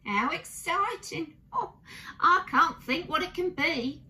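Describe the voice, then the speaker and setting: Woman acting an excitable housekeeper, swooping pitch. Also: slow pace, heavily acted. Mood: delighted. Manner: exclamatory, delighted. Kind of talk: children's storybook reading.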